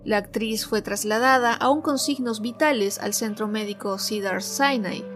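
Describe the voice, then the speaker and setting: A woman narrating a scripted true crime story as host, in a measured, clearly phrased way. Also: medium pitch, a bit bright. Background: background music.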